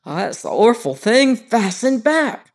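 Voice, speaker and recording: A man's voice: extremely high pitch, very animated, measured pace, pitched above the performer's own voice, exaggerated voice. A man reading aloud voices a servile old ship steward who drops his aitches and keeps saying "sir". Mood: triumphant.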